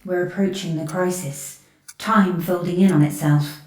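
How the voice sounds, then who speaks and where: A woman's voice: on the low side, steady tone, a little slow, darkish tone, echoey room, lightly acted, low-quality recording. A woman playing an intent, uncanny investigator who senses disturbances in time, in an audio drama. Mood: urgent. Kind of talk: fan-made audio drama acting.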